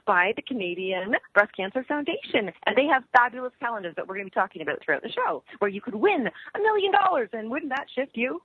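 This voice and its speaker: A bubbly woman co-hosts a radio talk show, her voice swooping up and down as she chats. Her tone is slightly husky and very dry. It comes through a thin, tinny phone line. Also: fast talker, hardly any pauses, no echo. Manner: bubbly, laughs often, lively pitch swings. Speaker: co-host.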